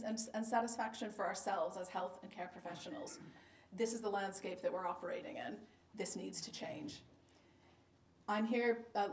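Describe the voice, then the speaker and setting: A woman's voice: medium pitch, darkish tone. Emphatic American woman giving a keynote. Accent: Boston American. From United States. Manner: emphatic, data-driven, punchy repeated "fact" refrains. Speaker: keynote speaker, health service director. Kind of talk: conference keynote talk. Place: conference lecture room. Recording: echoey room recorded from a distance.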